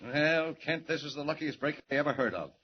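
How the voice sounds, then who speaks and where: A man's voice: a little high, animated, fairly quick, noisy background, lightly acted. A man as a blustering newspaper editor. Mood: gruff. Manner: gruff, blustering. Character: gruff middle-aged male newspaper editor. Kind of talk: 1940s radio acting. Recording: dull old radio recording.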